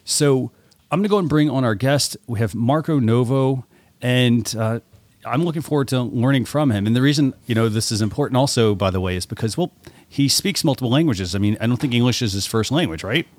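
A man co-hosting a podcast, introducing the topic and the guest in a relaxed, conversational way. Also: mid-range voice, fairly quick, no echo. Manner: easygoing, with occasional fillers. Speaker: podcast co-host.

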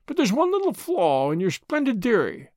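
A man voicing an old, scornful professor, a cornered villain with a theatrical, high-keyed, spluttering delivery in a storybook. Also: huge pitch swings, moderate pace, a little mellow, no room sound, clean studio sound, exaggerated voice. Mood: mocking, insincere.

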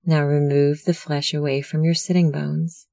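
A meditation instructor narrating a guided meditation in a measured, soothing, instructional manner. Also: medium pitch, level tone, vocal fry, darkish tone, no echo, studio quality.

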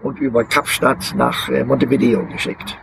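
Gravelly older man, hesitant storyteller. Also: mid-range voice, fairly even intonation, background noise. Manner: deliberate, hesitant, many filler sounds. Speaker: interviewee, long-term overland traveler. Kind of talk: outdoor interview. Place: outdoors beside a vehicle museum.

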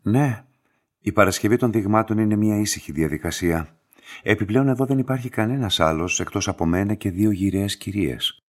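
An actor playing a composed, courteous and enigmatic laboratory director in a radio play, speaking in a measured, formal way. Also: male voice, fairly low pitch, animated, close to an everyday voice. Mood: businesslike, courteous. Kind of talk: scripted radio drama dialogue.

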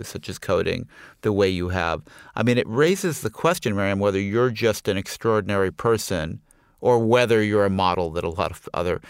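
A man with a low, smooth and resonant voice asks measured, probing interview questions. The sound is dry and close to the mic. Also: dry recording.

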